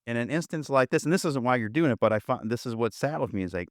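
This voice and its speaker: A man's voice: medium pitch, expressive, fast, no echo, clean studio sound. A man hosting an interview, talking casually and thoughtfully with searching restarts. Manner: thoughtful, searching, with repeated starts. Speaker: podcast host, interviewer.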